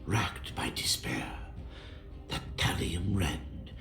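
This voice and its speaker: An actor playing a somber storyteller in a video game, narrating dramatically in a gravelly, breathy near-whisper. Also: male voice, deep voice, animated, slow, sharp tone, heavily acted. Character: somber storyteller recounting a descent into madness. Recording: echoey, faint music behind.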